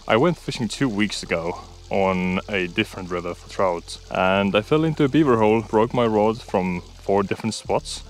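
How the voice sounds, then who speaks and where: A young man, chatting candidly to viewers as he fishes along a riverbank, recorded on a body-worn action camera outdoors. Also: lowish voice. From Estonia. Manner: chatty and candid, talks to viewers while fishing. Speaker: fishing vlogger. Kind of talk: casual outdoor vlog chat.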